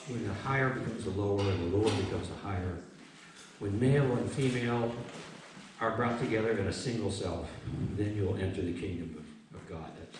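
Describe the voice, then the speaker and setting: A man speaking to a live gathering as a poet, reflective and halting, moving between informal talk and reading. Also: lowish voice. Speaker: poet presenting his work. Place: book launch event in an echoey room. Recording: echoey room, distant mic, faint noise.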